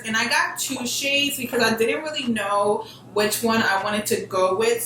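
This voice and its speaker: A woman's voice: a little high, fairly bright tone. A young American woman giving a casual step-by-step tutorial in an informal, slangy, emphatic way. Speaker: beauty vlogger giving a makeup tutorial. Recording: very echoey room, distant mic, music behind. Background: background music.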